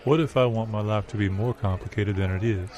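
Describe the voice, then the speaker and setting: A man improvising comedy lines in a deadpan manner. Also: lowish voice, brisk.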